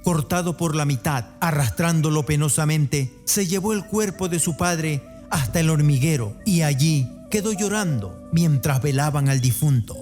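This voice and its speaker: A man's voice: fairly high pitch, moderate pace, darkish tone. A man narrating a radio play myth in the manner of a storyteller. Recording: music bed behind the voice. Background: music.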